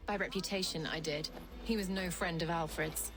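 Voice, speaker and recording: An actress playing a reserved mourner at a funeral in a video game. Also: female voice, fairly low pitch, fairly even intonation, normal speed, over music, close to an everyday voice. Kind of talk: video game dramatic acting.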